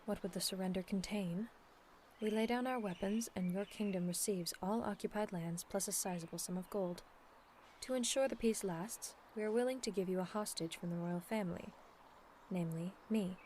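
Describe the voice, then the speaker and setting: A woman playing a dignified, earnest demon princess in a scripted ASMR audio roleplay, speaking in a formal, measured, persuasive way. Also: medium pitch, a little mellow, slight background noise, close to an everyday voice. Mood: solemn, earnest. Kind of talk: scripted ASMR roleplay acting, addressed to the listener.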